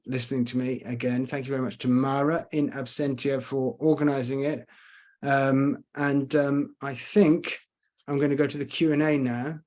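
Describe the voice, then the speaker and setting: A man's voice: mid-range voice, darkish tone. Guy with a londony accent, bit scottish, chatty online guide, lots of ums, laptop mic. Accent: London with slight Scottish traces. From Scotland and London. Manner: chatty, hesitant with many fillers. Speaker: tour guide. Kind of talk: casual online lecture with Q and A. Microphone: computer mic on video call.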